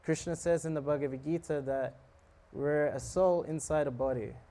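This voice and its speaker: A man giving a relaxed, joking talk on scripture to a live audience, often asking them questions. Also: a little high, moderate pace. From South Africa. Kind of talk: casual sermon to a live audience.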